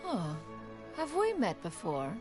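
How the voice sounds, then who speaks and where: Haughty ancient bird spirit, grandly expressive. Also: female voice, mid-range voice, takes it slowly. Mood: curious, gracious. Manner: haughty, archaic formal, calls self "one". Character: proud ancient female adeptus bird spirit, lofty, self-regarding. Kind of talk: anime fantasy game voice acting. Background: background music.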